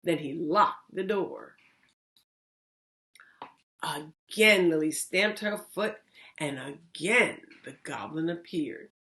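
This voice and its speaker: A woman hosting storytime, reading a children's story aloud with expressive, deliberate phrasing. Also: low voice. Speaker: storytime host, reading teacher.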